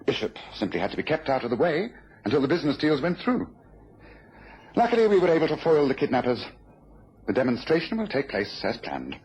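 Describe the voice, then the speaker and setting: An actor playing a shrewd, commanding British detective in a mid-century radio drama, speaking precisely and briskly. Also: male voice, medium pitch, huge pitch swings, darkish tone, husky, exaggerated voice. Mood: satisfied, explanatory. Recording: muffled, noisy old radio recording.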